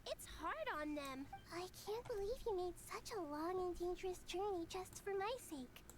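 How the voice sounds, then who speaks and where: A woman voicing a kind, earnest, gentle young female character in a video game. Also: extremely high pitch, lively intonation, moderate pace. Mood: gentle, concerned. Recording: noisy game audio under livestream.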